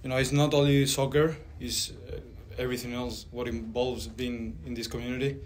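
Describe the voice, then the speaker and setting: Young male athlete at a press table mic, quiet and modest, faint hiss behind. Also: medium pitch, little pitch movement, normal speed. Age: young adult. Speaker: college soccer player. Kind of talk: post-game press conference answers. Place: press conference room. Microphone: table mic on a stand.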